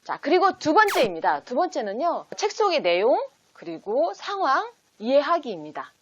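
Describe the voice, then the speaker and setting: Higher-pitched woman teaching in animated tones. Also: moderate pace, dry recording, highs cut off. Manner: explanatory, poses example questions as if to a child. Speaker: host teaching parents how to read picture books with children. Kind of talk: instructional video talk in numbered steps.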